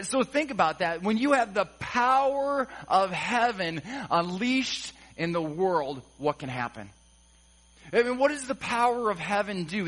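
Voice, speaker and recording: Male pastor, high tenor, casual and humorous pulpit delivery. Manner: conversational, acts out quotes with humor, rising excitement. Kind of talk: sermon.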